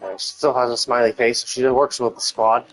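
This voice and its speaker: A man's voice: medium pitch, little pitch movement, fairly quick, fairly bright tone, soft background music, low-quality recording. A man commentating casually on a live game stream, thinking aloud in a hesitant, rambling way and repeating himself, with casual swearing.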